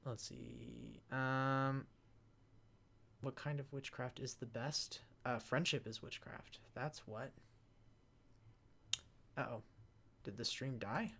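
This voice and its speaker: A man answering fan questions in a casual live Q&A as a panelist, halting and often rambling as he interrupts himself. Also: mid-range voice, steady tone, a bit bright.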